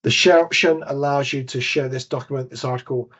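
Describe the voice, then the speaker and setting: A man's voice: mid-range voice, fairly even intonation, brisk, a bit bright. British man walking through a database demo. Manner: explains steps while clicking through screens, with small self-corrections. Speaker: database trainer. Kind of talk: software demonstration webinar. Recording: dull lo-fi webinar audio.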